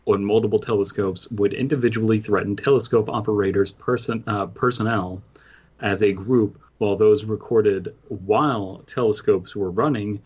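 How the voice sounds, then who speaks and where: A man co-hosting a casual podcast, reading documents slowly with hesitations and filler words. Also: on the low side, steady tone, a little mellow, husky. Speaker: podcast co-host. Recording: muffled lo-fi podcast mic.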